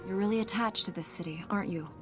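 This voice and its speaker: A woman's voice: medium pitch, quick pace, music in the background, close to an everyday voice. An actress voicing a composed, earnest young female Jedi knight in scripted video game dialogue. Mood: reassuring, earnest. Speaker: game character.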